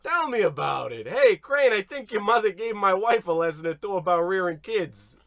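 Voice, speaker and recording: A dim goon sidekick acted by a man in a radio comedy, with a high voice. He wanders absent-mindedly through his wiseguy talk, recorded close and dry. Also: expressive, moderate pace, a bit bright, dry recording. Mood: wandering, forgetful. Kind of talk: old-radio comedy acting.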